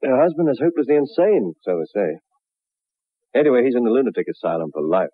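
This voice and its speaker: Husky, shaken 1960s radio storyteller. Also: male voice, medium pitch, very animated, fast talker, no echo. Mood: resigned, uneasy. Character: shaken man recounting a grisly horror tale over whiskey. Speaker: radio drama actor. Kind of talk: 1960s radio drama acting. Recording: muffled vintage radio recording, narrow band.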